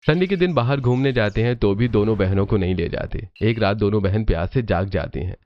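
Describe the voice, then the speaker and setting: A man's voice: lowish voice, a little fast, no echo. A man narrating a scripted cartoon story, on a muffled recording with music behind him.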